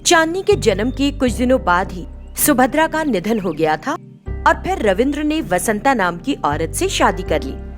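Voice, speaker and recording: A woman's voice: on the high side, sing-song, normal speed, soft background music. A woman giving a scripted narration of a moral story.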